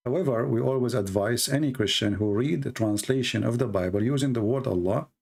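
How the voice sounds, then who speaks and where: A man's voice: medium pitch, vocal fry, darkish tone. A man with an Arabic accent, a native Arabic speaker from the Middle East, hosting a talk show in blunt, mocking casual commentary with broken English grammar. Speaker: debate show host.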